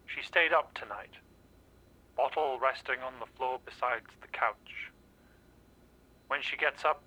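A man's voice: medium pitch, pushed and bright, low-quality recording. A man playing an anxious, watchful machine observer, narrating an audio drama in clipped, rhythmic phrases. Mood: hushed, somber.

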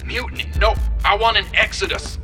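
A man's voice: high-pitched, animated, fairly quick, over music. An actor playing a bitter, threatening male militia major in a sci-fi audio drama, speaking in curt commands. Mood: bitter anger.

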